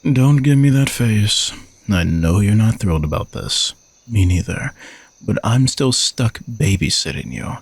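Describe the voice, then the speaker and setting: A man's voice: fairly low pitch, lively intonation, unhurried, vocal fry, slightly rough, heavily acted. A man playing an arrogant, sardonic knight who is a reluctant royal bodyguard, teasing and condescending in a romantic audio roleplay. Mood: resigned, bossy. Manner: teasing, dry, exasperated sighs, condescending.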